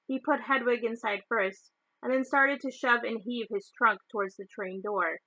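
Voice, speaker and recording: A woman narrates a children's novel. Her voice is bright and fairly high, and the recording is dry and close, with little room sound. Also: moderate pace, no echo, low-quality recording. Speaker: storybook reader. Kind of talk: children's novel read aloud.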